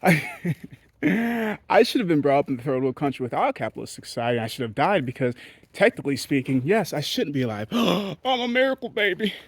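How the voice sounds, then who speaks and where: A man from Haiti giving a blunt, profane, rambling monologue to his audience as a vlogger. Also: dry recording. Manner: blunt, profane and rambling, with sudden jumps in pitch. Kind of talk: candid ranting monologue.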